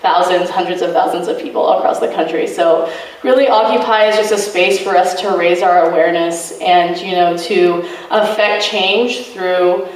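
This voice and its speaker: A woman's voice: on the low side, fairly even intonation, moderate pace, recorded from a distance. Earnest woman in an echoey room. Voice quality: loud voice. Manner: earnest, many fillers. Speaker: activist speaker. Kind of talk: informal talk to a student group. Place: college meeting room.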